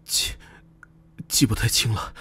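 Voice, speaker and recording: A man playing a timid, desperate labourer haunted by guilt in an animated drama, anxious, earnest and pleading. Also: unhurried, no room sound.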